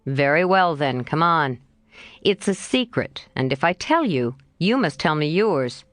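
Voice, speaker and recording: A woman voicing a cheerful, teasing young man in a slightly lowered, coaxing voice. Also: animated, measured pace, a bit bright, no echo, soft background music. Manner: lightly lowered, coaxing, playful.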